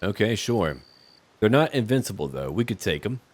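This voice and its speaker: A man's voice: on the low side, big pitch swings, normal speed. A man giving casual, musing commentary as he plays a role-playing game and speaks dialogue choices aloud.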